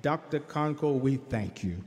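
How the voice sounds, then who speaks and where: A university choir director giving gracious, grateful formal remarks during a church service, speaking to an audience in a large chapel. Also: male voice, a little high, lively intonation, a little slow. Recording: long chapel reverb, crowd and music behind.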